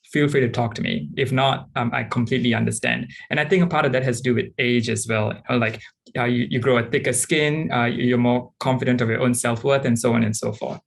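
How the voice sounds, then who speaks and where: A man, frankly and thoughtfully sharing personal experiences as a panelist, often pausing with ums. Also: mid-range voice, fairly even intonation, creaky. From Singapore. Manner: reflective and frank, with frequent ums. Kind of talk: candid personal account on a panel.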